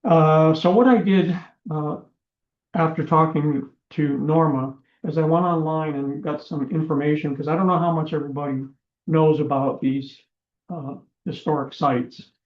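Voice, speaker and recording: A man chairing a town commission meeting, his voice going hoarse, talking haltingly with many fillers. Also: on the high side, steady tone. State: losing his voice. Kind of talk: meeting proceedings. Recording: echoey room, dull lo-fi sound.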